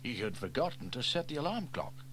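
Animated male storybook narrator. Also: medium pitch, brisk, noisy background. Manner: expressive, animated read-aloud.

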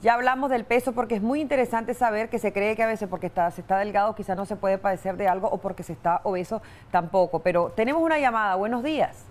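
A woman's voice: medium pitch, fast talker, few pauses. Casting: thirtyish Nicaraguan woman, polite studio host, mid-range voice. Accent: Nicaraguan Spanish. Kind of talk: morning TV hosting.

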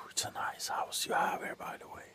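A tipsy man playing a callous, grumpy doctor in a slow, sullen ASMR roleplay, whispering sarcastically up close. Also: lightly acted. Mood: sullen, dry. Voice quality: breathy whisper. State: drunk. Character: grumpy, callous, drunken male doctor on a house call. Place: small cluttered room indoors.

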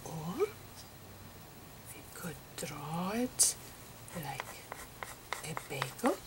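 A woman giving an ASMR lecture in a breathy whisper, speaking in halting short phrases. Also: low-pitched, big pitch swings, very noisy. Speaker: ASMR performer playing a physicist. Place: close over a chalkboard on a table indoors.

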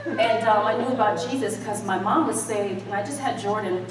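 A woman's voice: medium pitch, moderate pace, a crowd in the background. A middle-aged American woman preaching a conversational sermon in a folksy, emphatic way, addressing the congregation directly. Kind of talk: animated conversational sermon with call-and-response. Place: church stage, indoors, before a congregation. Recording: echoey hall, distant mic, audience murmur.

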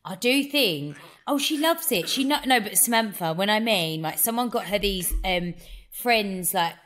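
Woman, 30s, podcast co-host, animated chatty storyteller, headset at home. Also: mid-range voice, normal speed. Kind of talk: casual chat. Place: home, on a video call.

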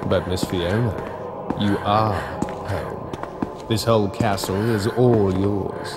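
A man's voice: fairly low pitch, fairly even intonation, slow. An actor playing a sinister, resentful hooded man in a horror game, speaking with formal, theatrical menace. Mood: oily politeness. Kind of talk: dramatic horror game acting.

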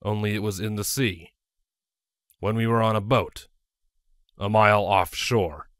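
A man's voice: on the low side, fairly even intonation, measured pace, dry recording, studio quality, lightly acted. Man as a wry pony guard captain. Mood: amused. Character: wry, good-natured male pony guard captain, newlywed husband.

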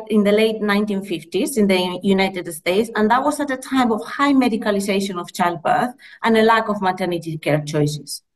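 A woman in her thirties with a Spanish accent presents research in a measured, earnest way, pausing on fillers and correcting herself as she goes. She speaks into a laptop mic on a video call from a small room. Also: medium pitch. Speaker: midwifery lecturer presenting research. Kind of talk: conference presentation.

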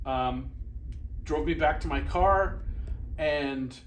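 A man's voice: fairly high pitch, slow pace, slight background noise. A middle-aged man with a North American accent chatting and telling stories casually, in a joking, matter-of-fact way, a few feet from the camera in a garage workshop. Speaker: vlogger and workshop tinkerer. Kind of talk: casual storytelling and chat. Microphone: camera a few feet away.

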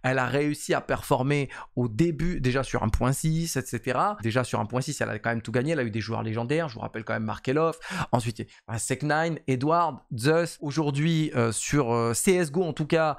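A man in his thirties giving casual esports commentary to camera from a home streaming room, talking quickly with many fillers and repeated phrases. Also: mid-range voice, vocal fry. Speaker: esports YouTuber. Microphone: desk mic on boom arm.

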